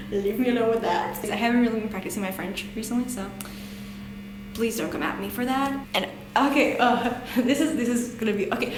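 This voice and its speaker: Giggly young Hungarian woman in an echoey bathroom. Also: mid-range voice. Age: young adult. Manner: giggly, self-deprecating, rambling with frequent laughs. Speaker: language-learning vlogger. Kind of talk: casual chat to camera. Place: small bathroom. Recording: echoey bathroom with noisy background.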